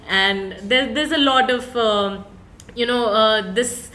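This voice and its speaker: A woman with a spoken word performer, answering in a measured way with frequent fillers during a live interview. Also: on the high side, sharp tone, faint music behind. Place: live event room.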